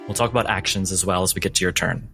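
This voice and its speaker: A man's voice: low voice, fairly even intonation, fast talker, vocal fry, sharp tone, soft background music. A man working as game master, narrating a tabletop game in a theatrical, emphatic way.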